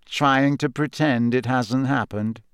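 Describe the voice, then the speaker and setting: A man voicing a smug, menacing teenage boy villain in an audiobook, speaking slowly and tauntingly. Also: medium pitch, clean tone, no echo, clean studio sound, lightly acted. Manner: slow, soft, taunting. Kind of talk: audiobook character acting.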